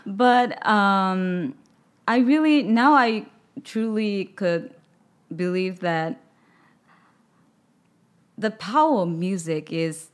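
A woman in her thirties from Japan with a Japanese accent, speaking English as a second language in an interview and often pausing to search for words. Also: medium pitch. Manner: halting, searching for words, soft-spoken. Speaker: guest singer. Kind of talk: casual interview chat. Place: studio with record-covered wall. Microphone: studio microphone on boom arm.

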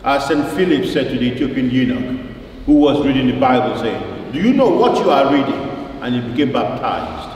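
A man preaching a sermon in an emphatic, deliberate way, repeating phrases for weight and putting questions to his listeners. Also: medium pitch, animated, a little mellow. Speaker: preacher at a church service. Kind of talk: sermon with scripture quotation and rhetorical questions. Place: large reverberant church, indoors. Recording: very echoey church, distant mic, faint noise.

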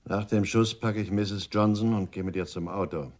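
A hard-nosed male crook for hire in a radio crime play, acted by a man. He talks in a fairly low voice, blunt and businesslike, without any fuss. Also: steady tone, moderate pace, a little mellow, close to an everyday voice. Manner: blunt, matter-of-fact. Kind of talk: radio crime drama acting.

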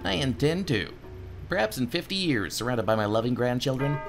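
A man voicing an exasperated, sarcastic adventurer in a game, in an excitable, theatrical manner. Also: medium pitch, fairly quick, vocal fry, faint music behind. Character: exasperated, sarcastic male adventurer and new homeowner.

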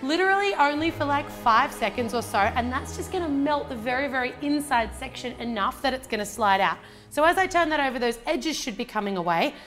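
Woman, 30s, Australian accent, cheerful cooking host, home kitchen, soft background music. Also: high voice, expressive, brisk, few pauses. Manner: chatty, upbeat step-by-step instructions. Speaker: baking channel host. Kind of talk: cooking demonstration.

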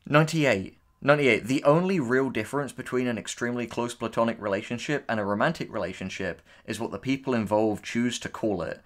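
A man with an average-pitched voice gives casual commentary as a co-host. He reads posts aloud and reacts to them in a sardonic, dismissive way, swearing freely. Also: a little fast, hardly any pauses. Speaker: co-host and writer reacting to writing tips.

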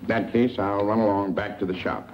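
A man's voice: fairly low pitch, steady tone, normal speed, a little hiss or hum, close to an everyday voice. An actor playing a folksy, teasing small-town newspaperman in 1940s film style, on a dull old soundtrack.

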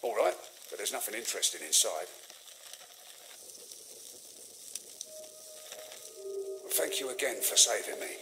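A frail, haunted man of middle age for a mystery game. His voice sits low and comes out weary and halting, full of self-pity. A clear sizzling noise runs behind him. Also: sing-song. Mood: bitter, resigned. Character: frail, haunted male former explorer, fearful and defeated. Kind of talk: video game dramatic acting.

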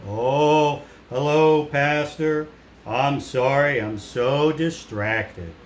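A man voicing a distracted, apologetic male puppet with a drawn-out, sighing, hapless delivery. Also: fairly high pitch. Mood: distracted, glum. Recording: roomy echo with some background noise.

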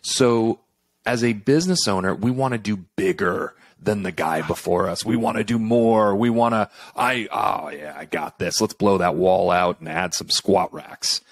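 A man's voice: on the low side, normal speed, no echo. A middle-aged man hosting a podcast, upbeat and coaching, acting out listeners' thoughts as he unpacks points. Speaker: podcast host. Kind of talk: conversational interview hosting. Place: room at home, indoors.

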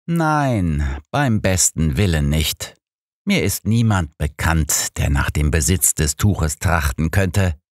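Elderly male pastor read by a narrator, grim, formal, affronted, low-pitched, audiobook, dry sound. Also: expressive, slow, dry recording, heavily acted. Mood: defensive, formal.

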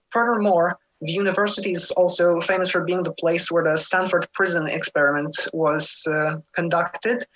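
A man's voice: sits high, fairly bright tone, rough recording. Teenage boy reading a formal statement. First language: English. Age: teens. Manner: formal, elaborate wording. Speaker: scholarship candidate. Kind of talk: reading a prepared statement, then answering questions. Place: home room. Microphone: webcam on a video call.

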